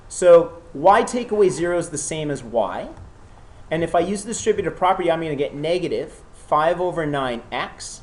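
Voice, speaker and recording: Teacher with a cold leading class. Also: mid-range voice. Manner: checks in often with the class, patient and encouraging. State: has a cold. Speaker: math teacher. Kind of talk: classroom lecture with questions to students. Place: classroom with students.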